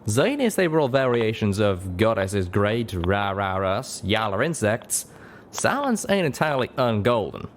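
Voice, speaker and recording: A man's voice: fairly low pitch, very animated, unhurried, slight background noise. A man voicing a folksy, wry, suspicious male cowboy pegasus with a drawl, half-whispered, for an audio story.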